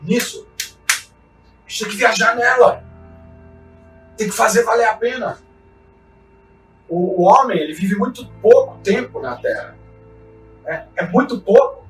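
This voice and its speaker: A man's voice: high voice, unhurried, long pauses. A man from Chile, a mountaineer giving an earnest, reflective motivational talk and often tagging his sentences with short questions. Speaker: mountaineer and expedition guide. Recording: roomy sound from a distance with faint music.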